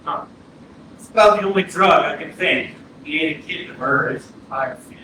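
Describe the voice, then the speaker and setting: An actor playing a probing, insistent small-town police interrogator in a staged play reading. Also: male voice, on the high side, a little fast, lightly acted. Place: arts center stage. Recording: echoey hall, distant mic, faint hum.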